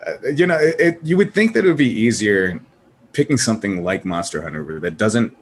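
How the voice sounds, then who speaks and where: Brief: male moderator, lowish voice, faint hiss behind, loose and laughing. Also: fairly quick. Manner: animated, laughing, rambling with restarts. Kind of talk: casual panel chat.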